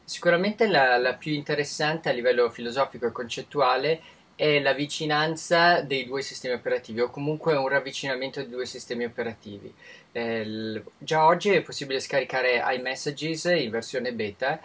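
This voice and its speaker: A man from Italy speaking as a technology expert in an interview. His pitch sits in an ordinary middle range, and he explains patiently and in detail, restarting words now and then as he builds his points. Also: a bit bright. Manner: measured, informative, with frequent restarts. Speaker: tech expert guest.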